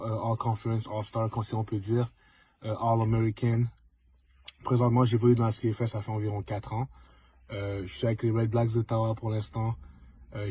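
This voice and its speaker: Low mellow young man, dry close sound. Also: husky, no room sound. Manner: reflective, mixes in English words. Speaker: former student, pro football player. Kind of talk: casual testimonial to students.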